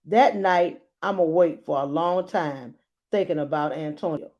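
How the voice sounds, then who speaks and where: A woman reading a storybook aloud like a teacher, adding expressive explanations and checking in with her listeners. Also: sits low, a little slow, lo-fi sound. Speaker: children's book read-aloud teacher.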